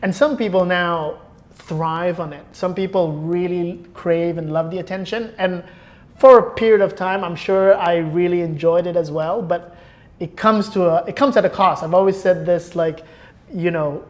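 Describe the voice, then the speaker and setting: A man speaking as a podcast guest in a casual interview, reflective and thinking aloud. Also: high voice.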